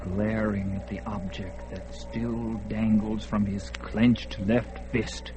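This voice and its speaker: A man narrating a classic radio drama in a theatrical manner, swelling loud and sinking hushed. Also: on the low side, flat delivery, slow pace, soft background music. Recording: noisy old radio recording.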